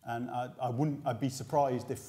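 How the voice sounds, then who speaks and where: Mellow-voiced British man hosting sports analysis. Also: medium pitch, steady tone. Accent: British English. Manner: measured, explanatory. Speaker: host. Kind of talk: post-match analysis chat. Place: small indoor room before a sponsor backdrop.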